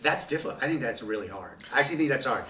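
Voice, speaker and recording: A man's voice: medium pitch, expressive, racing, sharp tone. A male voice actor talking reflectively about his roles in a casual cast chat, repeating himself for emphasis. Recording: roomy sound, mic at a distance, faint room noise.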